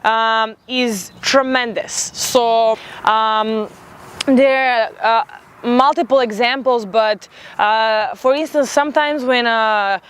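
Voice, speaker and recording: A woman's voice: on the high side, fairly even intonation, takes it slowly, a bit bright, smooth. Opinionated young woman from Serbia. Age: 20s. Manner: emphatic, opinionated, with frequent fillers. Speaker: international student. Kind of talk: interview answers.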